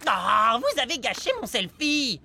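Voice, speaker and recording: A woman playing a vain, excitable young male blob in a French cartoon dub, animated and self-absorbed. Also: on the high side, unhurried, vocal fry, slight background noise, exaggerated voice. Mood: indignant, showy.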